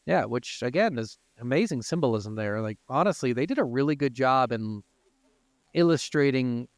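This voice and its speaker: Higher-voiced man, clear podcast host. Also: lively intonation, moderate pace, no echo, studio quality. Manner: informal, peppered with swearing. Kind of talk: casual gaming podcast talk with reading from notes.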